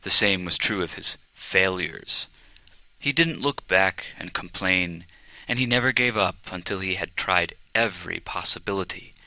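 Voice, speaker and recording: A man reading a children's biography as scripted narration, with a deliberate storytelling delivery. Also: low-pitched, sharp tone, no room sound, poor audio. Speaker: audiobook narrator. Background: string quartet music.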